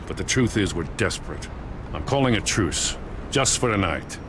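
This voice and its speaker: Brief: earnest tired commissioner, middle-aged, animated delivery. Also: male voice, deep voice, normal speed. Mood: weary. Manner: weary, earnest. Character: weary middle-aged male police commissioner. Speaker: police commissioner. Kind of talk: video game voice acting. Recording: game audio with background noise.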